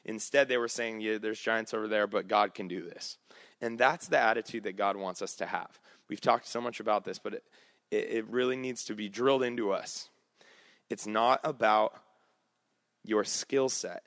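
A man preaching a conversational sermon, his voice slightly raspy, with frequent fillers and restarts. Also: fairly low pitch, steady tone, normal speed, fairly bright tone, no echo. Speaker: pastor.

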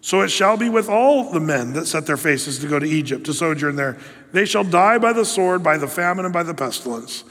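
A man's voice: fairly high pitch, big-room sound. A man preaching a sermon, reading scripture aloud and acting out the quotes emphatically. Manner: emphatic, acts out quotes in lively voices. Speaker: pastor.

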